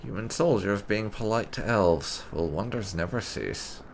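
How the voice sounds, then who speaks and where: A man voicing a sarcastic young elf recruit in a fantasy game roleplay. His lines come out dry and wry, with a low, gravelly voice that swings up and down a lot. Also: expressive, measured pace, a little hiss or hum.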